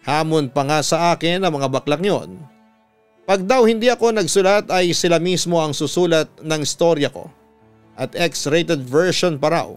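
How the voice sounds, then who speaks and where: A Filipino man, a radio announcer, reading a listener's letter dramatically with drawn-out emphasis on key phrases over background music. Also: fairly high pitch, clean tone. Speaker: radio announcer and story reader. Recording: music bed behind the voice.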